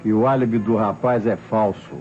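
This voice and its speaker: Man acting mellow-voiced, eager Brazilian police detective. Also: on the low side, steady tone, normal speed, close to an everyday voice. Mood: insistent, skeptical. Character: eager, opinionated male police detective, subordinate to inspector. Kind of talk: 1960s Brazilian radio mystery acting. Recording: muffled old radio recording, faint music.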